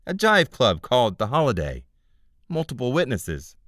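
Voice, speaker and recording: A man's voice: medium pitch, huge pitch swings, normal speed, a bit bright, no echo, clean studio sound, voice pushed up. A man voicing a smug, sly information broker with a wry way of talking.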